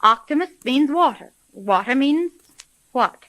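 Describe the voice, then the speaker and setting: Shrewd female sleuth, slightly high bright voice, dry sound, brisk, vintage radio mystery. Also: expressive, no room sound. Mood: confident, analytical. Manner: brisk, self-assured. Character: shrewd, confident female private detective. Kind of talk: 1930s radio acting.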